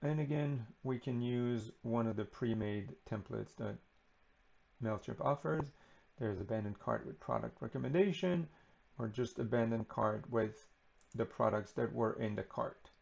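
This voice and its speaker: A man talks through a software setup at a slow, easy pace, often pausing on "um" and "uh." His voice has a gravelly, creaky edge. The recording sounds a little muffled and lo-fi. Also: medium pitch. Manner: relaxed, unhurried, many ums and uhs. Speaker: software tutorial presenter. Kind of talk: unscripted screen-recording walkthrough.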